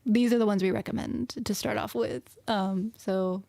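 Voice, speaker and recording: A woman's voice: medium pitch, steady tone, normal speed, vocal fry, mellow, no room sound. Giggly young woman, dry close sound. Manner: chatty, giggly, affectionate agreement. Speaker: co-host reviewing music. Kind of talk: casual chat.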